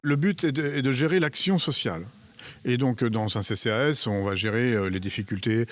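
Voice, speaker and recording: Man, medium pitch, measured explanatory delivery, hesitant, repeats words, official interview tone. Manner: hesitant, measured, often repeats words while searching for phrasing.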